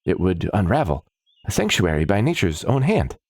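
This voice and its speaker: A man's voice: low-pitched, wildly swooping pitch, normal speed, a little mellow, no room sound, voice pushed up, full character voice. A man voicing an eager, chirpy woman alchemist doctor in a fantasy story, speaking in precise, wordy scientific jargon. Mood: earnest, animated. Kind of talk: fantasy story character dialogue.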